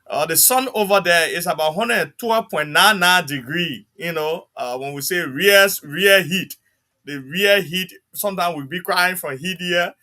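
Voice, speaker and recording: Bright, upbeat male co-host. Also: high voice, a little slow. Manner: upbeat, chatty. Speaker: talk show co-host. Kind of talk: casual talk show chat.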